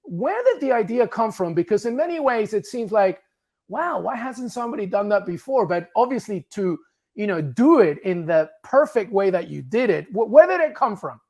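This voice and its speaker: A man's voice: high voice, sing-song. A man from Peru, a native Spanish speaker, hosting a football show and interviewing a guest with upbeat, enthusiastic questions. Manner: upbeat, warm praise, quick questions. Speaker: sports show host.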